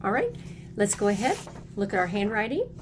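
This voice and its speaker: A woman's voice: mid-range voice, very animated, brisk, background noise. A woman teaching young children, encouraging them in short, simple phrases as she reads aloud and explains. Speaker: early elementary teacher.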